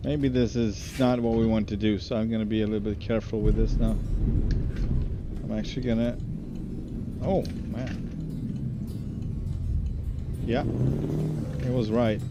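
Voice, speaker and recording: A man from Hungary chatting casually with his live chat while playing a trucking game, in a relaxed, self-deprecating way. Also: on the low side, unhurried, pauses often, muffled recording, heavy background noise. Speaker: game streamer. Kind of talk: casual live gameplay commentary, answering chat. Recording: loud background music under voice. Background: loud music.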